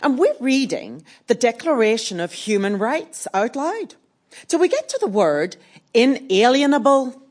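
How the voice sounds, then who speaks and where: A woman playing an earthy, wry activist, telling her story in a documentary stage monologue. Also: fairly high pitch. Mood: wry recollection. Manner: measured, expressive storytelling.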